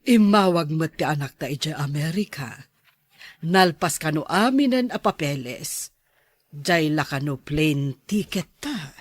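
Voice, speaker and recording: A man's voice: on the high side, animated, a little slow, no room sound. A man playing an elderly plantation owner and grandparent in an audio drama, instructing in a matter-of-fact way. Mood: planning.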